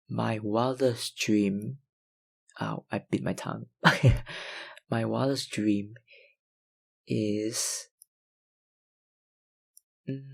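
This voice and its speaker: Young male ASMR creator, low-pitched, unhurried, filler-heavy fan Q&A. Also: creaky. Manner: hesitant, lots of ums and likes, soft laughs. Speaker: ASMR YouTuber answering viewer questions. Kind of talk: casual Q&A chat.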